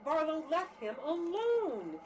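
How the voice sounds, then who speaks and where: A woman's voice: high voice, wildly swooping pitch, slow pace, a little mellow, exaggerated voice. A woman playing an exasperated, defiant unfaithful wife in an audio drama, scolding and indignant. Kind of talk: radio-play style dramatic acting. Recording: faint background noise.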